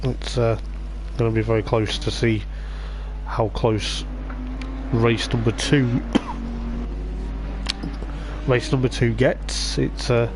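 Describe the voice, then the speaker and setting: Hoarse man rambling through race commentary. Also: mid-range voice, level tone, takes it slowly, long pauses, noisy background. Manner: rambling, filler-heavy, hesitant. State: voice going hoarse. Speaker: sim racing league commentator. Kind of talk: live race commentary on a stream.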